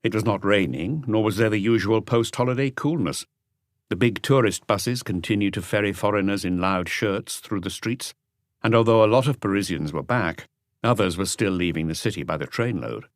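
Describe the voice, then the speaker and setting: A man's voice: lowish voice, no echo, clean studio sound. A man reading a crime novel aloud as scripted literary audiobook narration.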